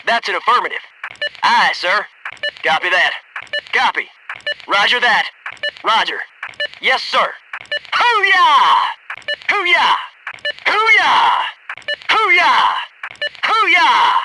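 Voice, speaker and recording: Video game soldier: young, cocky, loyal, very animated delivery of clipped military callouts, noticeably noisy recording. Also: male voice, very high voice, extremely slow, long pauses, heavily acted. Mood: businesslike. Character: young male military sniper-spotter, cocky, keen, loyal squadmate. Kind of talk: video game combat voice lines, short radio callouts.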